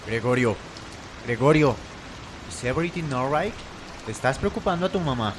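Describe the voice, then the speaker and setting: A man's voice: mid-range voice, animated, moderate pace, lightly acted. A man playing a stern, impatient middle-aged father in an amateur radio play, with rain-like hiss. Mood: concerned.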